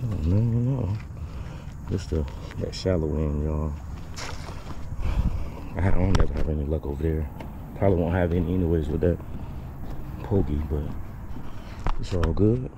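A man talks to his viewers in a folksy, informal way. He is outdoors with a camera close by or at arm's length, and there is clear background noise. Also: deep voice, moderate pace, long pauses, lo-fi sound. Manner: folksy, informal, repeats "y'all". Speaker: fishing vlogger. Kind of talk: casual chat to viewers. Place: outdoors on a wooden waterside boardwalk. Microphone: action camera, at arm's length or nearby.

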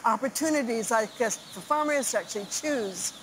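A woman talking in an interview, giving a measured explanation. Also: on the high side, animated, noisy background. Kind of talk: interview soundbite.

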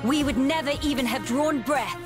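A woman's voice: sits high, normal speed, close to an everyday voice. The young royal heir facing down a traitor in a fantasy game cutscene, a woman acting, British, regal and stern, over orchestral-style backing music. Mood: indignant, resolute. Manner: formal, regal, imperious. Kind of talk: theatrical video game voice acting.

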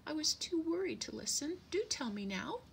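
High, fretful little father sparrow played by a woman; chirpy, jumpy storybook bird with swooping delivery. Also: big pitch swings, moderate pace, vocal fry, slight background noise, exaggerated voice. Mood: sad, worried. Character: very worried young father sparrow, anxious and chirpy. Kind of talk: children's storybook character voice.

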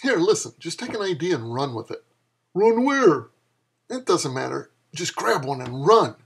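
A man's voice: a little high, very animated, normal speed, creaky, fairly bright tone, breathy edge. A man in his fifties giving a dramatic reading of his own dialogue-driven fiction in a wry, exasperated manner. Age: 50s. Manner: wry, exasperated delivery of an older mentor's lines.

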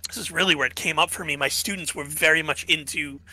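A man's voice: a little high, fast, sharp tone, rough recording. A man co-hosting a podcast, musing through philosophy in casual chat, checking in with "right?" and losing his voice. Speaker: podcast co-host.

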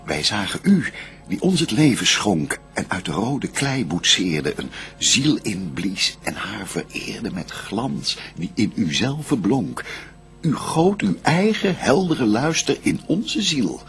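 Devout first man and adoring bridegroom, low gravelly voice, lyrical sweeping declamation, solemn verse radio play. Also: very animated, slow, fairly bright tone, exaggerated voice. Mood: reverent.

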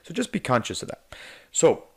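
A man teaching a negotiation course in a chatty, loose way, checking in with "okay?" and filling pauses with "um". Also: fairly high pitch, big pitch swings, gravelly, slightly rough. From Ireland. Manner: chatty, frequent "okay?" and "um" fillers, laughs lightly mid-sentence. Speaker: negotiation coach. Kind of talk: casual spoken course narration. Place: voice-over for an animated whiteboard video.

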